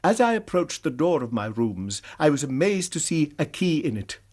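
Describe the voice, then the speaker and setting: Anxious British college lecturer, animated, husky. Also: male voice, on the high side, moderate pace. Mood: earnest recounting. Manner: precise, formal, earnest. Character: anxious, proper college lecturer reporting a crime. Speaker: actor in a radio drama. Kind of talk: British radio drama acting.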